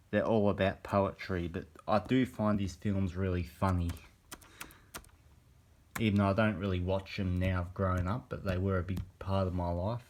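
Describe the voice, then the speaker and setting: A man, croaky from a cold, rambling through a casual vlog with hesitant pauses, recorded on a handheld phone camera. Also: deep voice, a little mellow. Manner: hesitant, meandering, many pauses. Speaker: vlogger.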